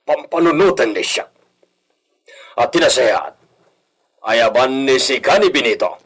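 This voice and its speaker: A stern household master in an Ilocano radio drama, acted by a man. He speaks very slowly and deliberately with an Ilocano accent, his mid-pitched voice swinging expressively as he gives orders or broods. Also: animated, fairly bright tone, breathy edge, rough recording. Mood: commanding. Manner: deliberate, commanding.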